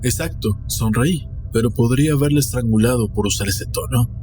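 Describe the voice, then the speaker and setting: A man narrates a literary tale as a scripted dramatic reading. Music plays clearly behind his voice throughout. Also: medium pitch, fairly quick. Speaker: audiobook narrator. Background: background music.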